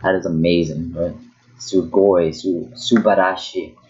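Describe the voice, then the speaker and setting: A man's voice: low-pitched, little pitch movement, measured pace, a little mellow, muffled recording. A young man teaching a casual online language lesson, rambling and joking, correcting himself as he goes. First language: not Japanese. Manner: rambling, self-correcting, jokey.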